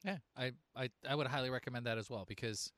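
A man's voice: medium pitch, quick pace, fairly bright tone. A man hosting an interview talks in a loose, rambling way and often restarts his sentences. His voice sits in the middle range. The sound is dry, with no room echo. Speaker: interview host. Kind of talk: casual interview chat.

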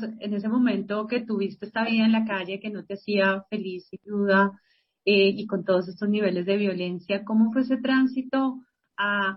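A woman's voice: mid-range voice, dull audio. A woman hosting a podcast, interviewing her guest with encouraging questions. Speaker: podcast host.

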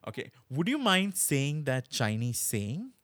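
A man's voice: mid-range voice, sing-song, measured pace, fairly bright tone, dry recording. A man hosting a podcast, talking conversationally to listeners and guests in an emphatic way. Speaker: podcast host.

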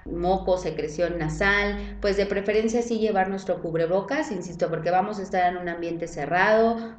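A woman gynecologist, explaining clinic measures in a relaxed podcast chat and often adding tag questions. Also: fairly low pitch, dull audio. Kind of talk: casual podcast conversation. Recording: roomy sound.

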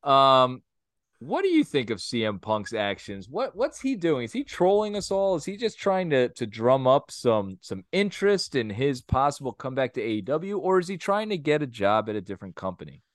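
A man's voice: medium pitch, sing-song, normal speed, no room sound, studio quality. A man co-hosting a wrestling podcast, talking in a rambling, opinionated and blunt way, sometimes crude. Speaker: podcast co-host. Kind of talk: casual wrestling podcast chat.

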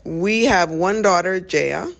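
Gravelly-voiced woman from Ethiopia. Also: on the low side, slow pace. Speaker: interviewee, wife. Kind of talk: casual chat.

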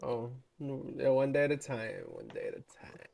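A young man co-hosting a casual podcast, joking in a dry, deadpan way and drawing out his words. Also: mid-range voice, moderate pace, vocal fry. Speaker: podcast co-host. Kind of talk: casual chat.

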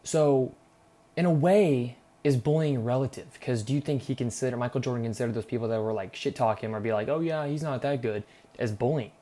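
A man's voice: medium pitch, brisk, mellow. A young man hosting a solo podcast, rambling casually and irreverently with lots of slang, sarcasm and swearing. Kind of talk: casual unscripted rambling monologue.

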